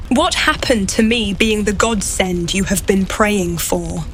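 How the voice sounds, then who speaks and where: A woman's voice: mid-range voice, unhurried, slight background noise, close to an everyday voice. A woman playing a composed, guarded adventurer heroine with a polite, dry manner in a fantasy game drama. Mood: wry, guarded. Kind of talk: voiced fantasy game dialogue.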